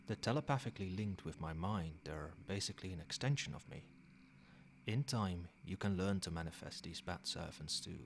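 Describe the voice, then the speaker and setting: A man playing a centuries-old vampire sire in an ASMR roleplay, a soothing, possessive caretaker who speaks softly in measured, formal phrases. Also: sits low, slight background noise. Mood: gentle, instructive.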